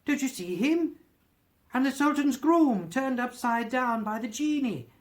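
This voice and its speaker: A woman voicing an old male miser in a storybook, earnest and excited, between laughing and crying. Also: very high voice, a little slow, pitched above the performer's own voice. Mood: excited nostalgia. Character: old miser Scrooge, excited and tearful at boyhood memories.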